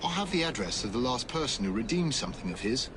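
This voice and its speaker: A man's voice: mid-range voice, sing-song, fast, natural voice. A man playing a terse, helpful pawnshop keeper in a video game, talking in clipped, matter-of-fact phrases. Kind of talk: game cutscene dialogue. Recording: clear street noise behind.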